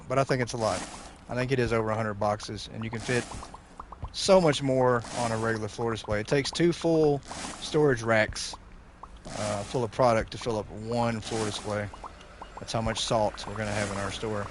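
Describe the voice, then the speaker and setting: A man hosting a gaming channel, chatting casually over gameplay in a rambling, jokey way full of fillers, his voice going slightly hoarse. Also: mid-range voice. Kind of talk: casual let's-play commentary. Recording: noisy background under the voice.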